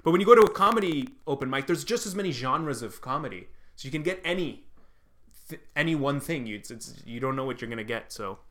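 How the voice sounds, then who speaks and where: A man's voice: medium pitch, expressive, fast, fairly bright tone. A man born in Lebanon, a comedian co-hosting a casual chat, rambling and swearing with self-deprecating jokes. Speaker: comedian and podcast co-host.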